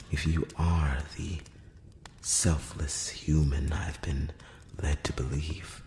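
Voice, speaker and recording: A solemn elvish emissary, played by a man, whispers in an extremely low voice, close to the mic. His delivery is slow, formal and probing, with deliberate pauses. The room echoes around him over a faint hiss, in the style of a fantasy ASMR roleplay. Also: a little mellow, heavily acted. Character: elf emissary, male, solemn, measured and probing. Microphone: close-up microphone.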